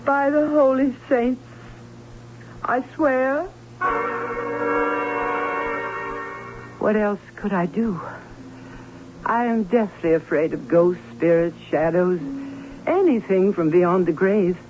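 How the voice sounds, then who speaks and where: An actress playing a fearful, anxious aunt in an old radio drama, speaking in a halting, dramatic way. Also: female voice, mid-range voice, close to an everyday voice. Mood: troubled confession. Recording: muffled, hissy old radio broadcast.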